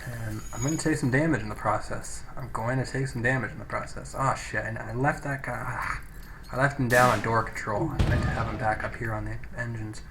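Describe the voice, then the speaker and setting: A man giving casual, sweary video game commentary as a let's play host, thinking out loud, recorded on a poor-quality microphone. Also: medium pitch, fairly even intonation, a little fast. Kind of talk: casual gameplay commentary with read-out game text. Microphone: low-quality home microphone. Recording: noisy cheap microphone, poor audio.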